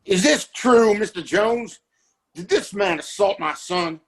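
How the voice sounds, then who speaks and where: Man acting pompous, husky Western town boss. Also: high-pitched, expressive, unhurried, fairly bright tone, exaggerated voice. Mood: stern, scornful. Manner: imperious, self-righteous, formal wording. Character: pompous, domineering father who runs a Western town. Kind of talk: Western audio drama acting.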